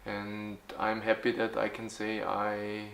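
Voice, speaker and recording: Man, 40s, low flat voice, answers haltingly with fillers, echoey room. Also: vocal fry, slight background noise. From Austria. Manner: halting, with frequent fillers and restarts. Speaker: youth football club organizer. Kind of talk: interview answers in English.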